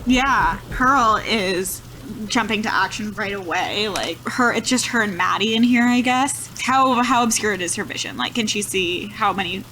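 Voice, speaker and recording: A woman's voice: medium pitch, normal speed, bright, forceful tone, slight background noise, rough recording. A woman playing a tabletop roleplaying game, improvising casually and thinking aloud in questions. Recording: music clearly playing behind.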